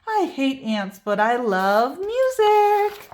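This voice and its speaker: A woman's voice: sits high, big pitch swings, slow pace, a little mellow, full character voice. A woman voicing a playful, hungry little female alligator in a children's storybook, sing-song and gleeful.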